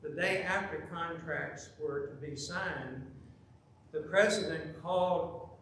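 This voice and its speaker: A middle-aged man preaching a sermon from the pulpit, telling a story with lively, emphatic delivery. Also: a little high, takes it slowly, slight background noise. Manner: animated, gesturing, rising to loud emphasis. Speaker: pastor. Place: large church sanctuary, at the pulpit. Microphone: pulpit microphone, filmed from far camera. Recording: very echoey church with faint ambience.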